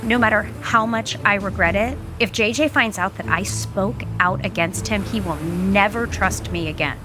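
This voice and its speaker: A woman's voice: mid-range voice, normal speed, lightly acted. Woman playing a remorseful, serious businesswoman over music. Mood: regretful, worried. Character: remorseful businesswoman worried for her brother. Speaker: actor in audio soap opera. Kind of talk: naturalistic soap opera dialogue. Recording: studio drama with music underneath.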